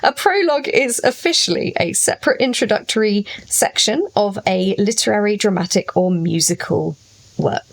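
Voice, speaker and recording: A woman's voice: mid-range voice, moderate pace, gravelly. A woman co-hosting a podcast, chatting casually in a playful, wry way. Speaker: podcast co-host. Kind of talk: casual, humorous podcast chat.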